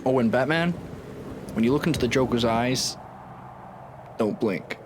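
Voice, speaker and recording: A man's voice: mid-range voice, measured pace, heavy background noise, lightly acted. Man playing uneasy, plain-spoken police detective. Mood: wary. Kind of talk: radio drama acting.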